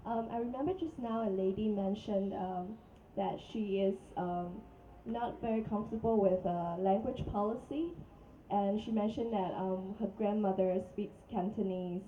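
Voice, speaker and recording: A woman's voice: mid-range voice, normal speed, phone-quality sound, slight background noise. A woman reporter asking a question at a press conference, polite and hesitant.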